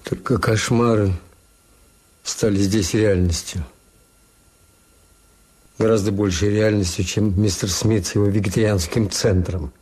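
An actor playing a weary, cynical middle-aged hotel owner who tells his story in a measured, wry way in a Soviet radio drama. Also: lowish voice, steady tone, darkish tone, slightly rough. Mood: bleak, brooding.

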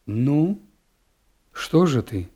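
A man's voice: medium pitch, sing-song, very slow, warm tone, husky, exaggerated voice. A man voicing a stern, cold-tempered middle-aged father who speaks curtly, clipped and muttering through his teeth, in a classic literary audiobook.

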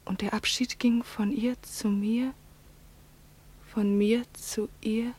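A woman's voice: fairly high pitch, steady tone, slow pace, darkish tone. An actress playing a tender, grieving woman with solemn intensity in a poetic radio drama. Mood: hushed, bereft.

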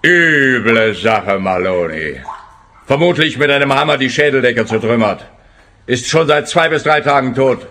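A man's voice: mid-range voice, animated, a little slow, sharp tone. An actor playing a sneering, pompous policeman in a radio play, lecturing with heavy sarcasm. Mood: world-weary. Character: sneering, pompous policeman taunting a private detective. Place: radio drama studio.

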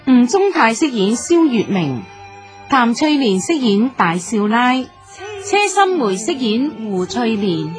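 Slow, high-voiced Cantonese woman announcer. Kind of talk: scripted radio drama credits. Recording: announcement over clear music. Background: music.

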